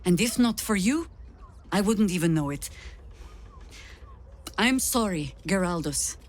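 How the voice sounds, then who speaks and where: An actress playing a stern, weary veteran woman commander in a video game, speaking in a measured, grave and authoritative way. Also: mid-range voice, expressive. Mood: regretful, resolute. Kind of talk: dramatic video game acting.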